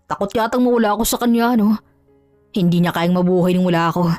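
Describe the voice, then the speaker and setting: A woman's voice: medium pitch, fast talker, darkish tone, dry recording, natural voice. A woman voicing a smug, lazy, jobless husband who boasts and jeers. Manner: smug, scornful. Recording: dry, faint music underneath.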